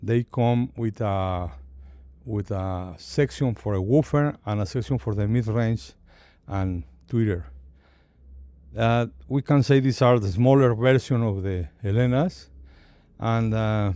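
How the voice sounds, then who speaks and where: A man with a non-native English accent gives an unscripted product presentation as a company chief, haltingly and searching for words. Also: lowish voice, mellow, clean tone, no room sound.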